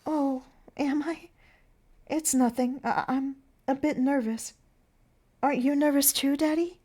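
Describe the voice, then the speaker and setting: A sweet young circus starlet, played by a woman, with a very high, girlish voice. She is nervous and pleading, showering everyone with childlike endearments in the manner of a stage drama. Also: steady tone, unhurried, warm tone. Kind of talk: theatrical play reading.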